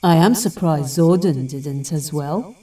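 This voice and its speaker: A woman playing a wise, solemn temple guardian in an audio drama, with a measured, grave and formal manner. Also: sits low, lively intonation, mellow, far from the mic.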